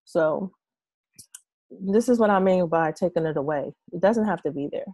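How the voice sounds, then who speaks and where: A woman in her thirties, hoarse from losing her voice, casually explaining an online tutorial with many fillers and restarts. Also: on the low side, moderate pace, dark tone, no room sound. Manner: rambling, hesitant, many fillers. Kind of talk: casual screen-share tutorial. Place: small room with red curtains, indoors.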